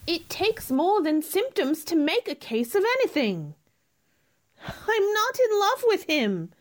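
Radio play heroine: self-possessed young woman, female performer, high register, animated intonation, courteous, firm, quietly defiant. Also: slow, exaggerated voice. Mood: upset, insistent. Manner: polite but firm, pointed. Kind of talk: radio theater acting.

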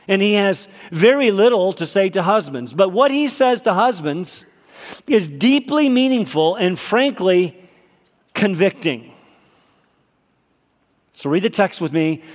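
A male pastor preaching a sermon, slightly nasal from a cold, emphatic and rising in pitch on his key points. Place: church sanctuary. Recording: long hall reverb.

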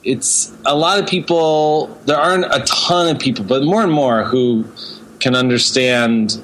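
A man speaking as an interview guest in a casual, halting and thoughtful way. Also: mid-range voice, a bit bright, poor audio. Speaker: interview guest, creative director.

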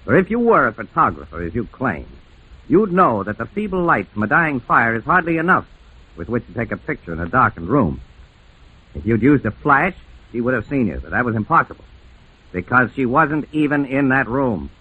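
Shrewd police lieutenant, husky, muffled radio. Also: male voice, mid-range voice, big pitch swings, fairly quick. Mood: accusing. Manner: probing, emphatic questioning. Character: shrewd, blunt police lieutenant closing a murder case. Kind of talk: old-time radio detective acting. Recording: muffled vintage radio recording, narrow band.

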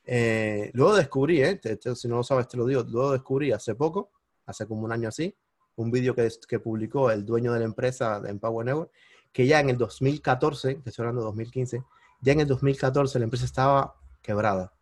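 A man with a Cuban accent, a podcast guest telling his story in lively casual conversation and bursting into high exclamations at the big moments. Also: brisk, no echo, studio quality. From Cuba. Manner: animated, jumps into high-pitched exclamations when retelling moments.

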